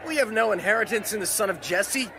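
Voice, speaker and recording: A man playing a defiant rebel calling out loudly in a dramatized audio Bible. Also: high voice, quick pace, close to an everyday voice. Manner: loud declamatory calling out.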